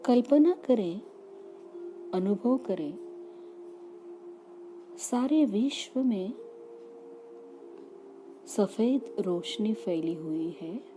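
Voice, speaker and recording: Female meditation guide, very soft dark tone, soothing short phrases, long pauses, light music underneath. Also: medium pitch, lively intonation. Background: background music.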